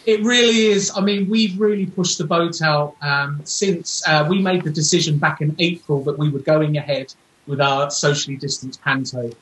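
A man's voice: on the high side, fairly even intonation, normal speed, fairly bright tone. An English man speaks over a video call from a roomy-sounding space. He is an enthusiastic guest with a theatrical way of talking, plugging his stage work in an upbeat interview. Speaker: pantomime dame actor, interview guest. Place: small home room.

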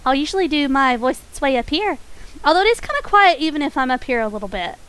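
A woman streaming a game, chatting casually and softly, a little nasal, her voice pitched up, with small laughs between remarks. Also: big pitch swings, fast talker. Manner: soft-spoken, chatty, frequent small laughs; voice pitched up from her natural register.

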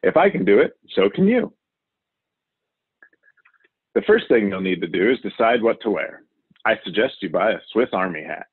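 A man voicing a wild, overconfident male TV survival show host from a children's storybook, excitable and showy. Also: on the low side, moderate pace, pauses often, husky, no room sound, exaggerated voice. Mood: confident, instructive. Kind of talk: children's storybook character reading. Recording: narrow, phone-like audio.

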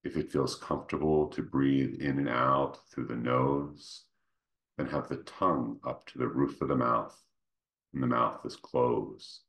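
A man leading a guided meditation, speaking gently and without hurry, in short phrases with pauses between them. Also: fairly even intonation. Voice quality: very deep, creaky. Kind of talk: guided meditation, slow soothing instruction.

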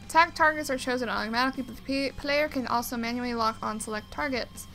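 A woman's voice: a little high, fast talker, bright, forceful tone. A woman giving casual let's play commentary and reading game text aloud. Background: game music.

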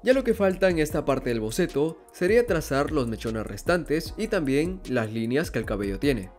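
Lively male drawing tutor narrating. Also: fairly high pitch, moderate pace, faint music behind. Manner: calm instructional voice-over, lively intonation. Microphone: voice-over over hands-on drawing footage.

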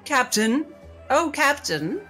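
A woman voicing a shrewd, imperious lady doctor with a genteel, measured way of talking in a tabletop game. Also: sits high, big pitch swings, sharp tone, soft background music, pitched above the performer's own voice, heavily acted. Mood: feigned distress.

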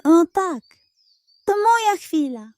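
A greedy little storybook pug, played by a woman, schemes in a high, sugary coo. He wheedles and flatters theatrically, drawing his words out in a close, dry recording. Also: slow, no room sound, heavily acted. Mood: gleeful scheming. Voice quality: cooing, wheedling. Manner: dramatic, coaxing delivery with diminutives. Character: sly, greedy little male pug, sweet-talking schemer.

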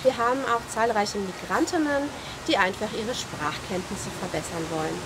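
A woman's voice: mid-range voice, moderate pace, noisy background. Assured woman director outdoors. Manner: fluent, assured. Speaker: managing director of a community centre. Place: outdoors in a garden. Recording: outdoor ambience clearly audible.

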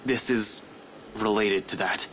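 A man's voice: fairly low pitch, fairly even intonation, slow, a bit bright, slight background noise. A man voicing a guarded, exasperated young visual novel hero with a terse, dry delivery over music. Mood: tense.

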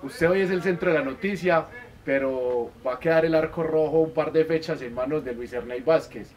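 Slightly high-voiced Colombian male interviewer. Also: normal speed. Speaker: club media interviewer. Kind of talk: conversational interview questions. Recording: faint background ambient noise.

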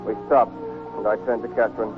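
An actor playing a tender, wistful soldier in love who narrates a 1940s radio drama. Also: male voice, on the low side, level tone, quick pace, close to an everyday voice. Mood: wistful narration. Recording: tinny 1940s radio broadcast with music.

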